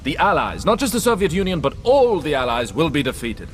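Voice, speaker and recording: A man playing a cynical, disillusioned German pilot captain in a radio drama, dry and measured, with a German accent. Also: a little high, big pitch swings, a little hiss or hum. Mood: scornful.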